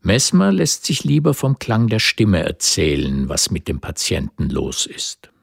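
An actor as the storyteller of a historical radio drama, giving composed, literary scripted narration. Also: male voice, low voice, wildly swooping pitch, measured pace, creaky, husky, no echo, studio quality, heavily acted. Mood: narrative.

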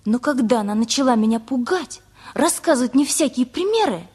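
An actress playing a headstrong, earnest teenage girl with a husky voice in a radio play. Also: high voice, expressive, brisk, darkish tone. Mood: indignant, defiant.

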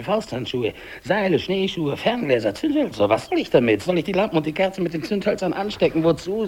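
An actor playing a dying, despairing English polar explorer alone, brooding and halting, in a 1950s German radio drama monologue. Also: male voice, fairly high pitch. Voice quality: gravelly, husky. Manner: halting, brooding, repeats words, hushed to declamatory.

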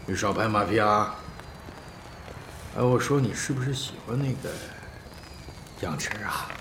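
A man's voice: on the low side, expressive, measured pace, creaky, a little hiss or hum, full character voice. A man playing a blunt, teasing guardian in an audio drama, with a coarse, needling way of talking. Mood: teasing, prodding. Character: blunt, teasing male guardian of a younger friend. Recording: loud music under the voice.

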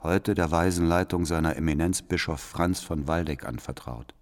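An actor playing a devout, calculating church spy in a radio drama, reading out his reports in a formal, deliberate, deferential manner. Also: rumbling low. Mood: deferential, watchful. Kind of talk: radio drama letter monologue. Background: faint music.

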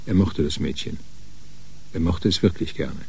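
A man's voice: sits low, moderate pace, gravelly. A man reading scripted narration for a German radio crime drama.

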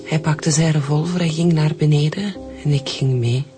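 A woman's voice: deep voice, moderate pace, close to an everyday voice. A woman playing a shaken, grieving new widow in a radio drama, with a Flemish accent. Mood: numb, shaken. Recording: music behind the voice.

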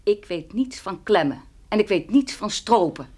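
An actress playing a proud, stubborn country landowner in a radio play, curt, guarded and dry. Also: female voice, mid-range voice, expressive, normal speed, a little mellow, lightly acted. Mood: indignant.

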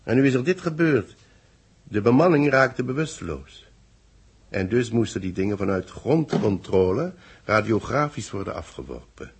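An actor playing a deliberate space-base official in 1960s radio drama, with a husky voice and a Netherlands Dutch accent. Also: male voice, on the low side, lively intonation, exaggerated voice. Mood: measured, explanatory. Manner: deliberate, explanatory. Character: measured male space-base official briefing his secretary.

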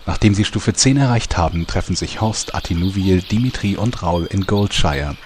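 A man's voice: sits low, animated, moderate pace, background noise. A man narrating a parody audio drama in a mock-epic announcer tone.

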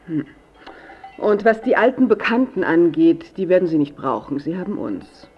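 An actress playing a controlling, businesslike middle-aged daughter guarding her father in a radio drama, brisk and formal. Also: on the low side, expressive, highs cut off, faint music behind, natural voice.

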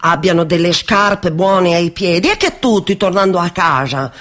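An actress playing a stubborn, idealistic mill worker in a radio play, speaking hesitantly and earnestly. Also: female voice, lowish voice, animated, normal speed, a bit bright. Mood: pleading, defiant. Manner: hesitant, repeats words, earnest. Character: stubborn, idealistic working-class woman, spinning mill worker, older sister. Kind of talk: naturalistic radio theatre dialogue.

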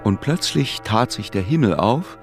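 Low solemn narrator for a literary radio play, measured, music behind him. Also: male voice, expressive. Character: solemn storyteller recounting a deportation.

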